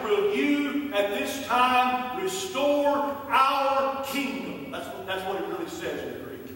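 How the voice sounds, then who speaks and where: An older man delivers an emphatic sermon in a rather high voice, circling back on phrases to drive them home. The sound is heavily echoed and distant, as if caught from across a church. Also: a bit bright. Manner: emphatic, repeats key phrases for weight. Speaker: pastor preaching. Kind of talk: sermon to a congregation. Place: church sanctuary, indoors. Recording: very echoey, distant hall recording with noise.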